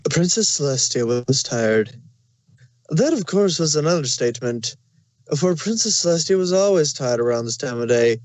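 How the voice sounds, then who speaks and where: A man's voice: fairly high pitch, lively intonation, normal speed, darkish tone, no echo, poor audio. A man reading scripted fan fiction as the narrator of a story.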